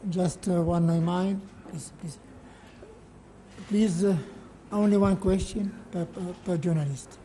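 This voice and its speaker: Guy running a press conference, mellow voice, polite stop-start instructions, bit of room noise. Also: high voice, fairly even intonation. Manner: halting, polite. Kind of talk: formal announcements and instructions.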